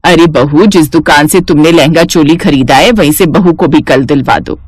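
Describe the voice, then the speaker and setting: A woman's voice: low voice, moderate pace, slightly rough, dry recording, pitched below the performer's own voice. A woman voicing an elderly, commanding village mother-in-law in a cartoon family story. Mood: insistent.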